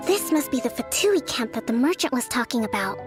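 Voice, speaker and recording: A woman voicing a calm, clever young military strategist in a video game, speaking in a measured, articulate way. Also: on the high side. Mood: calm, analytical. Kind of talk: scripted video game dialogue. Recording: background music under the voice.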